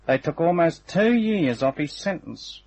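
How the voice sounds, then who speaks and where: Slow, taunting hired gunman, husky. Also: fairly high pitch, big pitch swings, darkish tone, exaggerated voice. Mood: taunting, gloating. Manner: unhurried, taunting, slangy. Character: menacing, smug hired gunman, a working-class thug. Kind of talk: old-time radio crime drama acting. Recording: dull, band-limited old radio recording.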